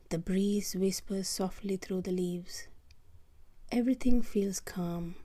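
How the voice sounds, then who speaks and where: A woman with a mellow, fairly low voice leads a children's bedtime meditation. She speaks very slowly and gently, often slipping into a breathy half-whisper. Short phrases are set apart by unhurried, soothing pauses. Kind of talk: guided sleep meditation, soft scripted narration.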